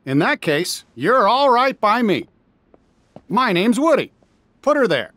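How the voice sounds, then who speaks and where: An actor playing a friendly, easygoing cowboy toy leader in a video game, folksy and reassuring. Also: male voice, sits high, big pitch swings, unhurried, sharp tone, no echo, full character voice. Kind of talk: animated video game dialogue.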